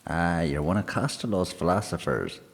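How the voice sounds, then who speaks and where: A man's voice: sits low, animated, moderate pace, creaky. A man voicing a pompous forties politician. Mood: boastful. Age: 40s. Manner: pompous, boastful, self-satisfied. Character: proud middle-aged male politician, barrister. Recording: long hall reverb.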